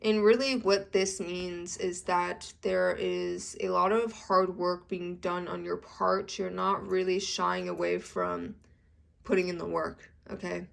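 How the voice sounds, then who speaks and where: A woman's voice: fairly low pitch, gravelly, fairly bright tone. A young adult woman giving a casual tarot reading to camera, chatty and full of "okay?" check-ins, her voice a little congested from allergies. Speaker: tarot reader.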